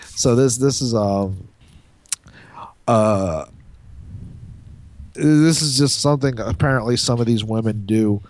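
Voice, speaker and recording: A man co-hosting a podcast, talking in a halting, rambling, profane way with crude opinions. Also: mid-range voice, a little mellow. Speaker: podcast co-host. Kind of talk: casual, crude opinionated group banter.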